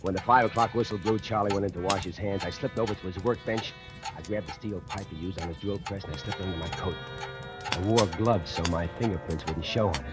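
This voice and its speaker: A man's voice: deep voice, fast talker, close to an everyday voice. Scheming killer narrator, confiding and smug, played by a man, old radio drama with music behind. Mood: sly. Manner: sly, confiding, gloating. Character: scheming male factory worker narrating his murder plot. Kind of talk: 1940s radio acting.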